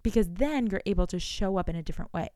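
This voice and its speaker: Smooth-voiced woman coach in her thirties. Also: medium pitch, quick pace, dry recording, studio quality. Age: 30s. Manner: animated, talks to listener directly with rhetorical questions. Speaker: business coach. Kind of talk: solo podcast monologue.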